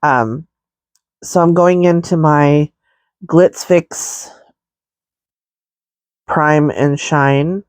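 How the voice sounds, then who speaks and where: Slow, congested woman chatting about makeup. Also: low voice, a little mellow, no room sound. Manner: slow, rambling, many fillers. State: stuffy nose, congested. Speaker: makeup vlogger. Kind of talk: casual makeup tutorial chat.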